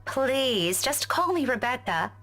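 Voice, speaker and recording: A woman's voice: high-pitched, big pitch swings, slow pace, pushed and bright, exaggerated voice. An actress playing a friendly, eager young woman teacher who loves history, in a visual novel game. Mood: curious, friendly.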